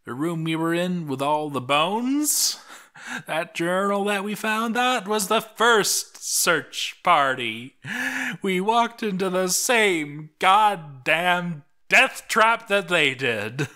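Give the story a doomed male dwarf played by a man, bitter and sardonic, slowly losing his mind. He delivers his journal dramatically in a forceful, bright voice, cursing as he goes. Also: sits high, animated, pitched above the performer's own voice, heavily acted. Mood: hysterical despair. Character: doomed male dwarf expedition diarist, bitter, cracking into madness.

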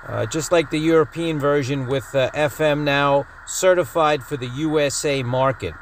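A man's voice: a little high, rough recording. A man hosting a hobby video, talking through a product in an informal, matter-of-fact way. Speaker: host of a radio-hobby video channel. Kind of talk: casual product announcement and feature rundown. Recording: clear steady background noise.

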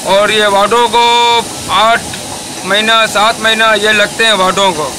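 A middle-aged man from Pakistan who paints boats, explaining his work in a plain, halting way with restarts, recorded outdoors in a boatyard on a camera mic. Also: sits high, steady tone, background noise. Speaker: boat painter.

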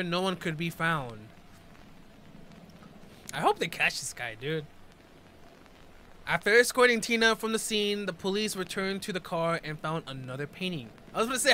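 A man's voice: high voice, sharp tone, slight background noise. A man in his twenties reacting casually to a video, reading text aloud and commenting in informal, slangy speech.

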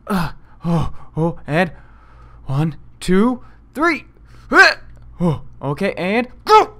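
A man doing casual, self-deprecating let's play commentary in an excitable manner. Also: high-pitched, slow. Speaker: video game let's player.

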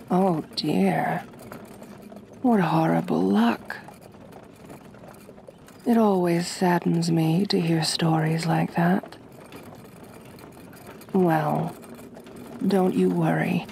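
A woman playing a kind but possessive, aristocratic vampire mistress in a soft-spoken ASMR roleplay, gentle and genteel, often close to a whisper. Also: lowish voice, creaky, a little hiss or hum. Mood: soothing, possessive. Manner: gentle, soothing, genteel, formal phrasing.

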